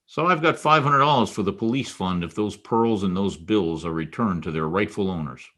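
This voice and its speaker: A man plays a hard-boiled private eye in a radio detective drama. His voice sits fairly low, with a wry, knowing delivery and a dogged streak. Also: moderate pace, fairly bright tone. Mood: persuasive, businesslike. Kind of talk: old-time radio detective drama re-creation.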